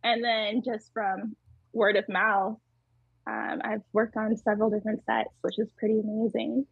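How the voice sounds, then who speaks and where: A woman with a small, tiny voice, chatting casually as an interview guest, laughing often and pausing with fillers. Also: medium pitch, little pitch movement, gravelly, slightly rough, no echo, phone-quality sound. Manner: giggly, hesitant with many fillers. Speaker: muralist guest.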